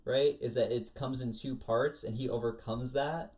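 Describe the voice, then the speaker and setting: A man with an American accent in a casual panel chat about anime, retelling things in an animated way with acted-out quotes. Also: mid-range voice, normal speed. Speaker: podcast panelist.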